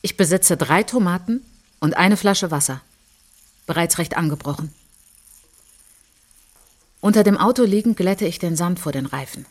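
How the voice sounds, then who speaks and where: An actress narrating as a reflective, resolute woman looking back on her childhood in a German radio drama, in measured short phrases. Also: on the low side, close to an everyday voice. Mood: tense, matter-of-fact. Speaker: narrator of autobiographical monologue. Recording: music behind the voice.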